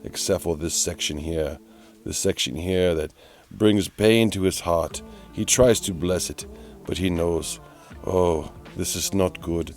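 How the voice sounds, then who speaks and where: A man's voice: sits low, darkish tone, faint music behind. A man playing a pompous, easily exasperated narrator who mocks a painter in a theatrical, mock-dramatic DIY voice-over. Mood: solemn, melodramatic. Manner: theatrical, pompous, drawn-out repetition.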